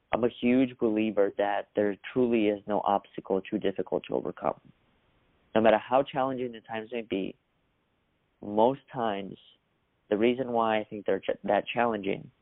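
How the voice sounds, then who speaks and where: A young man from Russia, a podcast guest telling his story in a measured, earnest way. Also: fairly low pitch, dry recording. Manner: measured, earnest, occasional restarts. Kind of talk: reflective storytelling. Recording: dry, phone-like narrow sound.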